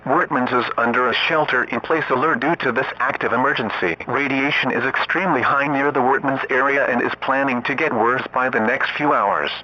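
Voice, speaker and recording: A man's voice: mid-range voice, fairly even intonation, brisk, sharp tone. A man reading a scripted emergency warning broadcast in a formal, stiff, synthetic-sounding manner. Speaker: emergency alert announcer. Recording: dull lo-fi radio sound, clear noise.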